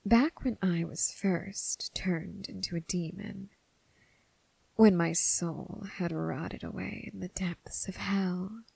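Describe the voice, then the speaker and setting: A woman voicing a bored, mocking female demon in an ASMR roleplay, half-whispering in a languid, condescending way with a husky, creaky voice. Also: lowish voice, steady tone, dark tone, no room sound, exaggerated voice. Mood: weary boredom. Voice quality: breathy, husky, with creaky fry. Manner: languid, condescending, drawn-out phrasing with sighs.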